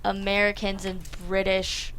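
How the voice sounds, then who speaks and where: Young woman, mid-pitched, casual guest. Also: completely flat delivery, a little slow, fairly bright tone, slight background noise, poor audio. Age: young adult. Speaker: screenwriter guest. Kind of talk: casual interview.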